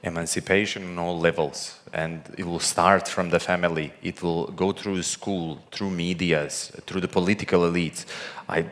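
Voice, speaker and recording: A man's voice: low voice, steady tone, normal speed, hardly any pauses, a bit bright. A man speaking earnestly and emphatically on a panel, repeating phrases for weight. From Montenegro. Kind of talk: earnest public panel speech. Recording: echoey hall with long reverb.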